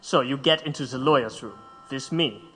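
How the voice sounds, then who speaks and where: Forceful, scornful militant man. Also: mid-range voice, normal speed, husky. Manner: curt, clipped. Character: blunt, scornful, militant male anarchist prisoner. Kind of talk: audio drama acting. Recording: long hall reverb.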